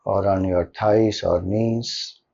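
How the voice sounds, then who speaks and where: A man with an Indian accent leading a guided meditation as a spiritual teacher, speaking calmly and gently with deliberate pauses. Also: sits low, creaky, highs cut off.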